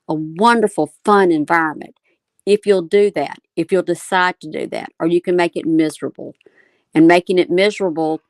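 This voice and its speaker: Earnest woman with a lowish voice. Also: no room sound. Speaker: panel host on a live discussion show. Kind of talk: casual opinion talk.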